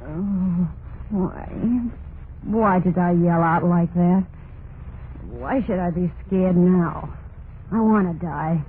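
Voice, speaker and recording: An actress playing a tormented, murderous young wife in a vintage radio horror play, confessing in a brooding, repetitive monologue with plain folksy speech. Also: female voice, lowish voice, slow pace, pauses often, narrow, tinny audio. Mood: despairing, frightened. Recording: noisy, band-limited vintage radio recording.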